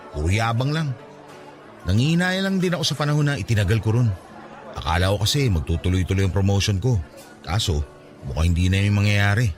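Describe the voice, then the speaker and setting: A man with a Filipino accent playing a cocky but caring young best friend in a radio drama, bantering and expressive. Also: mid-range voice, natural voice.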